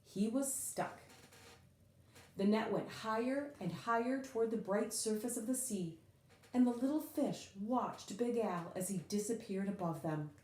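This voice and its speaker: A woman reading a children's picture book aloud in an expressive, dramatic way, leaning on key words with drawn-out emphasis. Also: fairly high pitch, roomy sound, poor audio.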